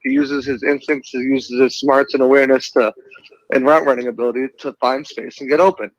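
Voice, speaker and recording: A man's voice: mid-range voice, expressive, fairly quick, gravelly, no echo, low-quality recording. Boston man talking sports, dry sound. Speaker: sports writer, guest analyst. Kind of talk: casual sports commentary.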